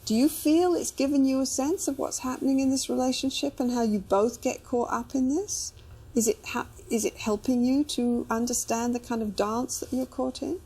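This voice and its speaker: A woman's voice: high-pitched, fairly even intonation, moderate pace, dark tone, breathy edge. A woman working as a couples therapist, talking conversationally and guiding with questions to check understanding.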